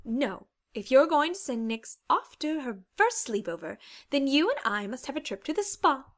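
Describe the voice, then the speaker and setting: A woman's voice: high voice, big pitch swings, normal speed. A woman voicing a refined, caring, insistent female pony with a genteel, theatrical manner in an audiobook. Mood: fussily insistent. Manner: genteel, polite, theatrical phrasing. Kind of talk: dramatized audiobook character acting.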